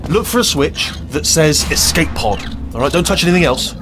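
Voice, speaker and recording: An actor playing a nervous, bumbling little robot guide in a video game, rambling, hesitant and forever correcting himself. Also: male voice, a little high, moderate pace, noisy background, lightly acted. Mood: bossy, jittery. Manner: babbling, hesitant, self-correcting, excitable. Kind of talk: comic video game dialogue.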